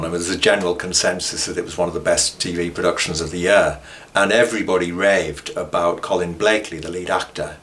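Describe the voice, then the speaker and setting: Male presenter, low voice, informal documentary commentary. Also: a bit bright. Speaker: author and presenter.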